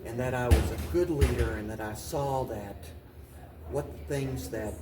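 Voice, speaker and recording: An older man giving reflective interview answers as a mayor, haltingly, often repeating and restarting his phrases. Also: mid-range voice, heavy background noise. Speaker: mayor, talk show guest. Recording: roomy, distant mic, voices in background.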